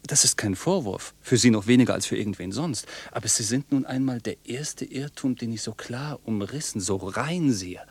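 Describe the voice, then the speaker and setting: A man playing a polite but unsettling, intense night traveller in a German radio play, speaking deliberately and theatrically. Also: mid-range voice, darkish tone, breathy edge, dry recording. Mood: fascinated, shaken.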